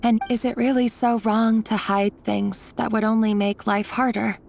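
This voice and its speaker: An actress playing an earnest, secretive and protective young woman in a video game, measured and resolute over background music. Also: fairly high pitch, little pitch movement, gravelly, a little mellow, slight background noise. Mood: brooding, resolute. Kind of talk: scripted video game voice acting. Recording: game dialogue with music underneath.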